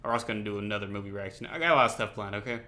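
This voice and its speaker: Bright, forceful man doing casual reaction commentary. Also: on the low side, very fast. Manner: slangy, animated, talks to viewers. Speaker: reaction video host.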